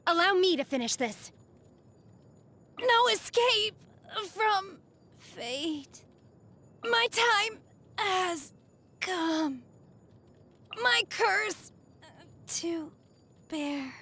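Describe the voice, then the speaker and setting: A woman's voice: very high-pitched, expressive, heavily acted. A woman playing a solemn, aloof young exorcist raised by immortals, speaking formally and earnestly as a video game character. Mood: fierce, commanding. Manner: measured, stoic, formal, softly earnest.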